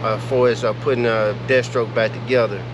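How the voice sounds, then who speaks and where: A man's voice: medium pitch, fairly quick. A man vlogging casually about his vehicle project, talking in a rambling way with lots of filler sounds and restarts. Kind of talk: casual talk to camera. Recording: noisy, with steady hum behind.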